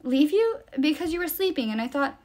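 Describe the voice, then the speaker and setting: A woman's voice: sits high, lively intonation, brisk, a little mellow, voice pushed up. A woman voicing an anxious, impulsive teenage boy who pleads remorsefully in an audiobook story. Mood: defensive, indignant.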